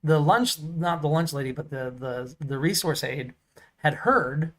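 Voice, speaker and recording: Co-host, man, loud carrying voice, rambling storyteller, slightly high. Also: measured pace. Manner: loud voice that carries; rambling, many fillers and restarts. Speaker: podcast co-host. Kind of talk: casual storytelling chat.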